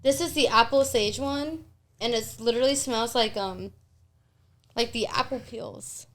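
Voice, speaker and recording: Chatty, higher-voiced woman co-hosting a podcast. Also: unhurried. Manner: informal, slangy, chatty. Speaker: podcast co-host. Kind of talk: casual group podcast chat.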